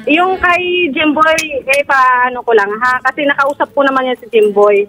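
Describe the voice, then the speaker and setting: A woman's voice: high-pitched, normal speed, sharp tone. A woman with a Filipino accent answering complaints over a call in a hesitant, evasive way. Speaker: HR supervisor, phone-in guest. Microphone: phone call.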